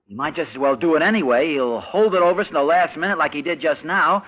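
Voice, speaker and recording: An actor playing a bitter, worn-down young husband with an intense, brooding delivery in a 1940s radio drama. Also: male voice, a little high, expressive, fast talker. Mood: angry, desperate. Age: young adult. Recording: noisy, tinny old radio recording.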